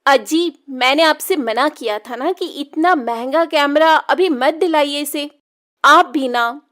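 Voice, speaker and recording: A woman's voice: high voice, slow, fairly bright tone. A woman playing an exasperated but affectionate mother in a children's cartoon story, chiding and fond.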